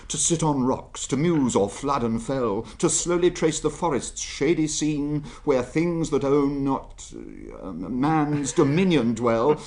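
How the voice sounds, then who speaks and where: Lively, curious royal secretary. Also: male voice, on the high side. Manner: inquisitive, conversational. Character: curious, genial royal secretary questioning his colleague. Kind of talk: BBC radio drama acting.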